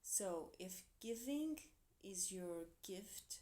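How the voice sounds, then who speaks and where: A woman with a Portuguese accent, English not her first language, reads tarot in an earnest, encouraging way. Her pitch sits in the ordinary middle for a woman, and she drops into soft, quiet asides now and then. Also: lively intonation, very slow. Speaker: tarot reader. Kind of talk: tarot reading for a zodiac sign.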